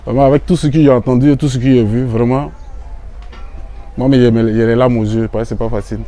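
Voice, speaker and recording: Ivorian man, very mellow tone, earnest open-air speech with repeats. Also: mid-range voice, fairly even intonation. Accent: Ivorian French. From Côte d'Ivoire. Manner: earnest, repeats phrases, halting restarts. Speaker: visiting association official. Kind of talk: emotional outdoor speech to a community. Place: outdoor urban neighborhood gathering.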